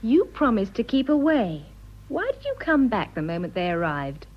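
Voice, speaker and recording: An actress playing an earnest, spirited young English gentlewoman, frank and reproachful, in a radio drama. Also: on the high side, big pitch swings, normal speed. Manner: reproachful, direct. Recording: muffled radio recording.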